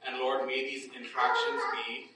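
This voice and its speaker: A man's voice: mid-range voice, level tone, moderate pace, background noise. A man leading a Bible study class, explaining casually with frequent "right?" checks and restarts, then praying aloud. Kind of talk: casual teaching, then spoken prayer. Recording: echoey room, distant mic, faint ambience.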